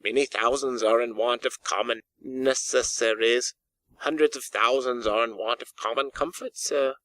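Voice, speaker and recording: A man acts out a portly storybook gentleman, courteous and earnest. He speaks formally and politely in a bright, clear-cut voice, recorded very dry. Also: mid-range voice, fairly even intonation, normal speed, no echo, close to an everyday voice. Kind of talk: audiobook character dialogue.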